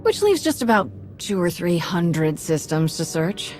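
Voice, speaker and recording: An actress playing a practical woman fighter, brisk, reassuring and lightly joking. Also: lowish voice, very animated, darkish tone, faint music behind. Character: upbeat, practical woman fighter who reassures her companions.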